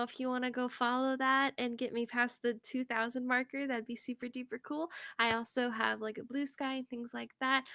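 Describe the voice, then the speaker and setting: A woman's voice: high-pitched, pushed and bright, no echo. A woman co-hosting a livestream, chatting casually. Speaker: stream co-host.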